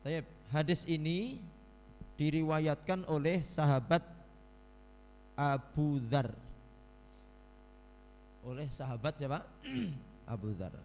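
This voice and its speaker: A man with a Javanese accent giving a religious study lecture, reading Arabic text and explaining it in a relaxed, chatty way to his audience, often clearing his throat. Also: mid-range voice, in a large hall, slight background noise. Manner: mixes Arabic recitation with casual explanation, addresses audience as Bapak Ibu. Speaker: ustadz teaching a hadith book.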